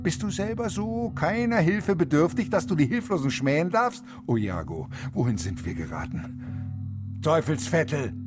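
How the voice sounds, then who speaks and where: A man's voice: a little high, sing-song, moderate pace, over music. An actor playing a desperate, indignant little man, theatrical, pleading and railing in a German radio drama. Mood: despairing. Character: desperate, indignant little man devoted to his dying dog.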